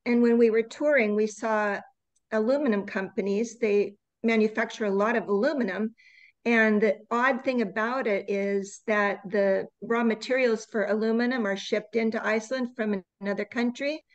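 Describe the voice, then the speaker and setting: A woman teaching an online English class over a video call, reading slides slowly and patiently correcting students. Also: fairly high pitch, steady tone, lo-fi sound. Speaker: English tutor.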